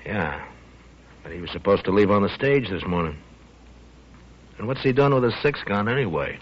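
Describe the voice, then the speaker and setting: An American actor playing an easygoing but watchful frontier marshal in a 1950s radio western, on a muffled old recording. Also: male voice, on the low side, expressive, normal speed, slightly rough. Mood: watchful, dry.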